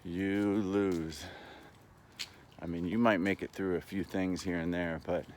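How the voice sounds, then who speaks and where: A man talking in a casual, rambling monologue, halting but earnest and opinionated, as a vlogger. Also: deep voice, steady tone, a little mellow, slight background noise.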